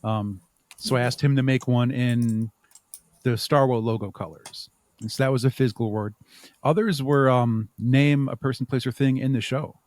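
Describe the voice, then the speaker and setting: A man talking casually and thoughtfully as an interview guest, with halting phrasing. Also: mid-range voice, steady tone, a little mellow, dry recording. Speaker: podcast guest, audio drama creator.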